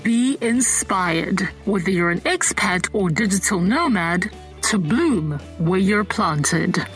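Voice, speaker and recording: A woman's voice: medium pitch, lively intonation. Polished woman announcer over faint music. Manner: polished, measured delivery. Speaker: show announcer. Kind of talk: scripted intro narration.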